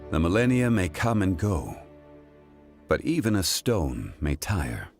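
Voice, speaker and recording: An actor playing a calm, ancient, weary male god in a video game, speaking in a measured, formal, grave way. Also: deep voice, soft background music, full character voice. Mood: solemn, weary.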